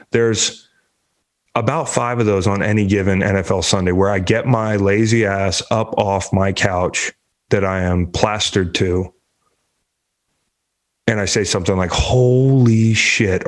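A man with a fairly low voice riffs on sports in a loose, rambling way. He swears and cracks jokes as he goes. He sounds a little congested. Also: unhurried. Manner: profane, rambling, joking. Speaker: sports show host. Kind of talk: casual sports talk.

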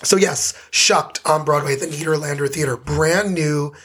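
A chatty man co-hosting a theater podcast, his voice husky and fading. He talks a lot and with enthusiasm, close to the microphone. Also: on the high side, moderate pace, fairly bright tone. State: losing his voice. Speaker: co-host. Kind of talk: casual chat.

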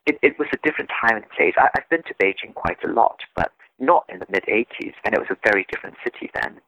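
A man talks through his work in a lively, rolling voice that dips low and turns gravelly at the ends of phrases. His explanations are reflective and animated. The sound is dull and lo-fi, with a dry, close feel. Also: fast talker, no room sound. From Singapore. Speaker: guest translator. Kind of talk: casual interview conversation.

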